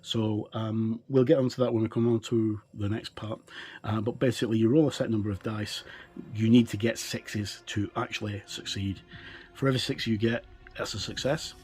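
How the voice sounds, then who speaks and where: A middle-aged man giving an unscripted walkthrough commentary as a game reviewer, in a halting, hesitant way with trailing phrases. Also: lowish voice, fairly even intonation, a little mellow, soft background music.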